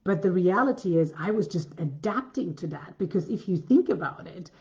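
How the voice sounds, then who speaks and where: A woman with a deep voice giving a coaching masterclass, earnest and repetitive, often restarting her sentences. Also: lively intonation, normal speed, a little mellow, lo-fi sound. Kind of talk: masterclass talk.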